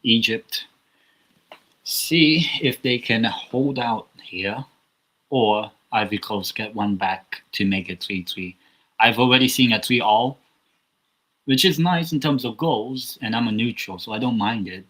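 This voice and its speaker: A man's voice: mid-range voice, expressive, measured pace, a bit bright, low-quality recording. A man hosting a live football watchalong, giving casual, rambling commentary with chatty asides from a small room at home. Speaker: watchalong host.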